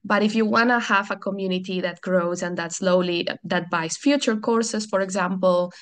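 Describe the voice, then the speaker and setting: A Catalan woman from Barcelona on a video call, hosting a software tutorial webinar and explaining things in a friendly way with frequent ums and restarts. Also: medium pitch, steady tone, moderate pace. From Catalonia, Spain. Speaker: customer support webinar host.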